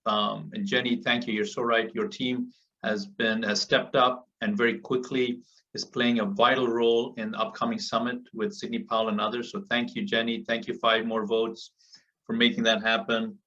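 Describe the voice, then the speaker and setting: A man's voice: medium pitch, level tone, normal speed, sharp tone. A man from Africa giving an earnest, motivational speech to people on a group call, driving his points home through repetition. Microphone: call audio. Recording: muffled, distant call audio.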